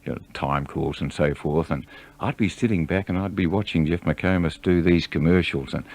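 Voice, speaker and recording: A man's voice: sits low, normal speed, darkish tone. A man, a radio announcer, reminiscing conversationally in an interview.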